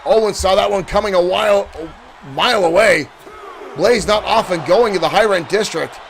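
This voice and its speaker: Gravelly-voiced man calling wrestling action. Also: sits high, normal speed, a little hiss or hum. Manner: shouts calls at big moments. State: losing his voice. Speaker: wrestling play-by-play commentator. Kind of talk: excited play-by-play commentary.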